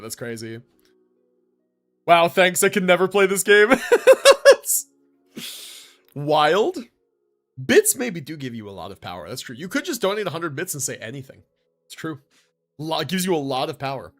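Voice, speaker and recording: A man's voice: sits high, fairly bright tone. A man streaming live, reviewing game builds in casual commentary and thinking aloud as he goes.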